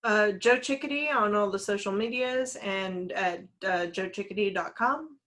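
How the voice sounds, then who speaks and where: A woman's voice: mid-range voice, normal speed, fairly bright tone. A woman talking casually as a panelist on a podcast. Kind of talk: casual chat.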